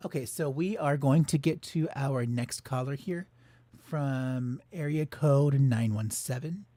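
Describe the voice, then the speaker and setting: A man hosting a live call-in astrology show, speaking softly with many ums and you-knows. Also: medium pitch, measured pace, no echo. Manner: soft-spoken, filler-heavy. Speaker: host.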